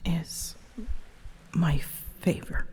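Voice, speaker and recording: A woman voicing a prickly, proud but weary female dragon who secretly yearns for comfort, in halting, formal speech for an ASMR roleplay. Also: in a large hall. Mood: vulnerable, pleading. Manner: slow, hushed, halting, formal and regal phrasing. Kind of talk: ASMR roleplay audio drama.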